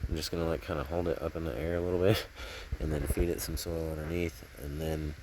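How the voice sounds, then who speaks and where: A man's voice: a bass voice, level tone, fast, darkish tone, slight background noise, rough recording. A man casually narrating hands-on garden work outdoors, rambling and thinking aloud as he goes, recorded on a handheld camera. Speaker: gardening vlogger.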